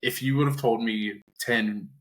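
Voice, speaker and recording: A man's voice: mid-range voice, steady tone, a little slow. A man hosting a podcast, joking and casual as he interviews a guest. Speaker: podcast host.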